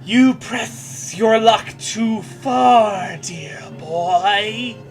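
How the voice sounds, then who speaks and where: A man's voice: high-pitched, steady tone, extremely slow, faint music behind, exaggerated voice. A man voicing a menacing, sneering monstrous male teacher from fan fiction, drawing out his threats.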